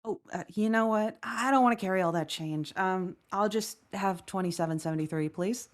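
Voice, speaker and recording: A woman's voice: medium pitch, normal speed, lightly acted. A woman playing a wry, weary woman who gripes sardonically and swears freely in a conversational audio drama table read. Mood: casual. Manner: sardonic, swearing, exaggerated griping. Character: Lucretia Evans, wry, griping, weary woman football-game player.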